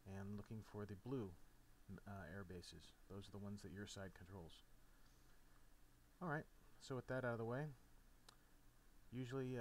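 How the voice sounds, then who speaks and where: A man presenting an informal step-by-step game tutorial in a casual, instructional way. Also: on the low side. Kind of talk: casual step-by-step tutorial narration over a flight simulator. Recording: clear background noise. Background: steady noise, game sound off.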